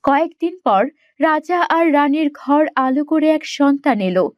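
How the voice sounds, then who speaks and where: A woman's voice: sits high, lively intonation, normal speed, lo-fi sound, a little hiss or hum. A woman reading scripted fairy-tale narration as a storyteller. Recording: music behind the voice. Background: music.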